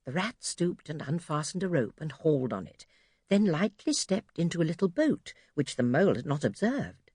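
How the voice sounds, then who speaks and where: A woman's voice: sits low, big pitch swings, moderate pace, a little mellow, husky, no echo. A woman narrating a children's audiobook expressively from a script, close to the microphone. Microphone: close studio microphone.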